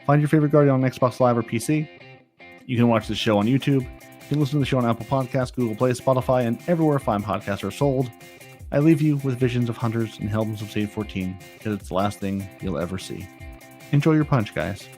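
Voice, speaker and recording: A man's voice: mid-range voice, fairly quick, darkish tone, soft background music. A man hosting a gaming podcast in a casual, wry, joking style. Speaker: podcast host.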